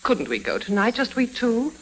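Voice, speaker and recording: Headstrong romantic lady, animated delivery. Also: on the high side, normal speed, poor audio. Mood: petulant, pleading. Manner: impulsive, dramatic. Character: headstrong, romantic young upper-class lady. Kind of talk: 1950s radio drama acting.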